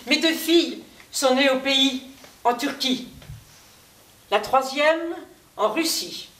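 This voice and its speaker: A woman performer giving a measured theatrical recitation of testimonies on a stage. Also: high voice, fairly bright tone. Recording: echoey stage, distant mic, faint noise.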